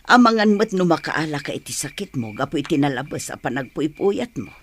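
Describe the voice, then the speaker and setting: A man's voice: fairly high pitch, big pitch swings, fairly quick, a bit bright. A man playing a fretful, doting elderly mother in an Ilocano audio drama. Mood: tender worry. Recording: clearly noisy track with chirping insects.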